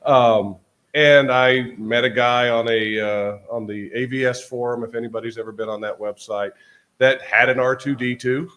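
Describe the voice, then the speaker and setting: A man's voice: mid-range voice, steady tone, normal speed, a bit bright. A man from Texas giving a casual talk at a convention, with lots of fillers and restarts. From Texas, USA. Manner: chatty, many fillers and restarts. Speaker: convention speaker. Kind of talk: casual conference presentation. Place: convention hall stage.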